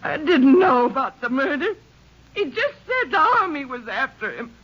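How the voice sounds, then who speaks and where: A woman's voice: high voice, sing-song, moderate pace, fairly bright tone. An actress playing an anxious old frontier mother in a radio western, with rustic, emotional speech. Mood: distressed, pleading. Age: older. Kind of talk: 1950s radio western acting. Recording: muffled old radio recording.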